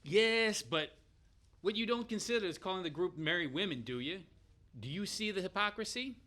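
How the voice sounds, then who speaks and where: A man's voice: high-pitched, expressive, normal speed, sharp tone. A man playing a burly, aggrieved, argumentative outlaw in a comic radio play. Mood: accusing. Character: big, burly, indignant male outlaw with hurt pride. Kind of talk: radio-play comedy acting.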